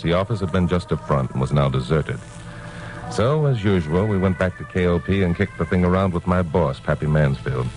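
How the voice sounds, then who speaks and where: An actor playing a tough, unflappable newsman in an old-time radio crime drama, assured, wry and matter-of-fact. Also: rumbling low. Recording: noisy old radio recording.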